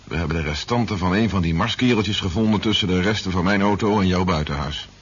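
Low-voiced commanding army general, muffled recording. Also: male voice, quick pace, slightly rough. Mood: calm, reassuring. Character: brisk commanding male army general, steady and fatherly. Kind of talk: 1970s Dutch science fiction radio drama acting. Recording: dull, noisy 1970s radio recording.

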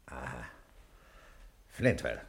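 An actor playing a blunt, hearty ship's captain with authority in a 1960 German radio play. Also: male voice, very deep voice, big pitch swings, drawn-out words, creaky, breathy edge, heavily acted. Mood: hearty, surprised. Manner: blunt, exclamatory.

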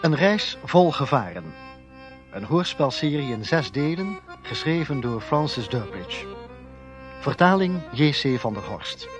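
A man's voice: fairly high pitch, sing-song, unhurried, music in the background. A man announcing a radio drama serial in a scripted style.

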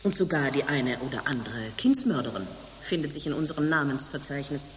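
An actress playing a worldly, stern abbess in a German radio play, speaking formally and theatrically. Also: female voice, rumbling low, animated, brisk, slight background noise. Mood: solemn, frank. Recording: long reverb, music behind.

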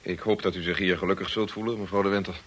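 A polite estate manager in a radio drama. His voice is low and slightly husky. He speaks with formal, reserved courtesy to his employer's household. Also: steady tone, fast talker, a bit bright. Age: 30s.

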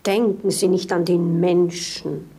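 An actress playing a fussy, anxious older governess in a radio drama, with a prim, theatrical delivery. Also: female voice, lowish voice, level tone, slow pace, mellow, slightly rough, faint music behind, full character voice. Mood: anxious, concerned. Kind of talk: classic radio drama acting.

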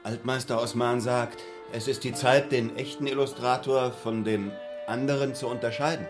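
Man acting Ottoman-era investigator, medium pitch, restrained questioning, plain-spoken, radio drama, soft music behind. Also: fairly even intonation, moderate pace, lightly acted. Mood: restrained, inquiring. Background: faint music.

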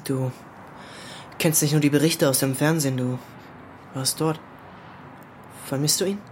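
A young actor playing a self-assured, probing teenage boy from a wealthy family in a radio drama, cool and deliberate. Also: fairly high pitch, steady tone, a little mellow, slight background noise, lightly acted. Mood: challenging. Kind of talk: German radio drama, recounting and dialogue.

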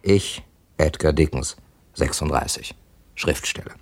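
A man's voice: extremely low pitch, measured pace, dry recording, full character voice. An actor playing a worldly, wry crime writer in his thirties in a 1970s German radio drama. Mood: wry, composed. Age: 30s. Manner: dry, wry, urbane. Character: Edgar Dickens, worldly thirty-six-year-old male crime writer from London. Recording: noisy old radio archive recording.

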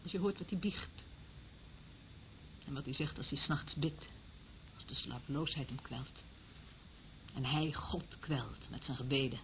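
A woman's voice: very deep voice, a little slow, long pauses. Bitter female eavesdropper, mocking, intense, radio drama, thin phone-like sound, some hiss. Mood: bitter, controlled. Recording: thin band-limited old radio recording, hiss.

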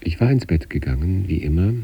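An actor playing an introspective sanatorium patient, narrating eerie experiences in a measured, deliberate, literary radio style. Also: male voice, expressive, dull audio, heavily acted. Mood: calm, absorbed. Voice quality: husky, dark low voice.

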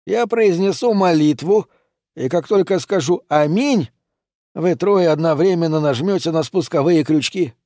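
A man's voice: fairly high pitch, unhurried, dark tone, no echo, clean studio sound, pitched above the performer's own voice, close to an everyday voice. A man reading an audiobook and voicing a stern, dying old man who gives curt orders and prays through his pain. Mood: stern commanding.

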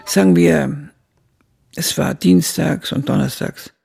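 Gravelly elderly woman narrator. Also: deep voice, takes it slowly, mellow, soft background music, heavily acted. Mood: reflective. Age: older. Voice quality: gravelly, husky. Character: elderly woman narrator looking back on her youth. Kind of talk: scripted radio drama narration.

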